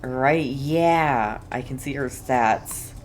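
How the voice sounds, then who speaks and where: A woman streamer with a very low voice talks herself through a game. Her commentary is casual and rambling, at an unhurried pace, with faint noise behind her.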